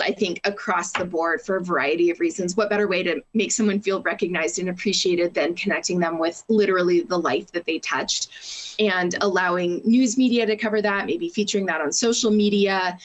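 A woman interview guest who works in public relations, giving practical explanations in an articulate, organized way with lists of examples. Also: on the low side.

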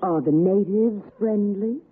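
An actress playing an arch, teasing, flirtatious city wife in a 1960s radio suspense drama. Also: female voice, medium pitch, measured pace, breathy edge. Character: mocking, flirtatious city wife of a folk-music scholar. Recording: tinny, noisy old radio broadcast.

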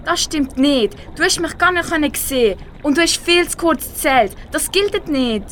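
A woman's voice: high-pitched, animated, moderate pace, a bit bright. A teenage girl with a Swiss German accent voicing a proud, sulky rainbow fish in an amateur school radio play. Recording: clear background noise.

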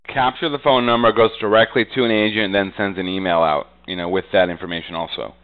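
A man's voice: on the low side, steady tone, quick pace, a bit bright, clean tone, low-quality recording. A man being interviewed as a real estate agent, talking conversationally and confidently, with frequent verbal tags. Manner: frequently punctuates talk with "you know".

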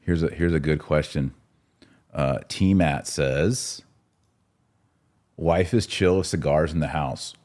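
A man with a notably deep voice hosts a casual podcast from a studio. He talks informally, with slang and a joking streak, as he chats and fields live comments. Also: takes it slowly, long pauses, darkish tone. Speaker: podcast host.

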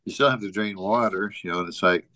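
A man with a fairly low voice chats casually as a podcast co-host. He rambles through an anecdote, leaning on "you know" and often chuckling. The sound is very dry, with no room echo. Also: fast, bright, forceful tone. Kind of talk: casual chat, telling an anecdote.

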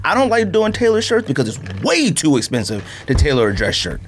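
A man hosting a podcast in casual chat, rambling and slangy as he tells animated stories in a studio. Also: on the high side, brisk, slight background noise. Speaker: sneaker podcast host. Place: podcast recording room, in studio.

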